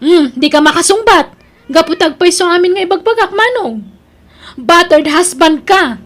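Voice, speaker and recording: Lady acting a bratty filipino sister-in-law, very high voice, bossy and taunting, audio drama villain. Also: animated, moderate pace, fairly bright tone. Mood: spiteful, taunting. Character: spiteful, bossy, quarrelsome younger sister-in-law.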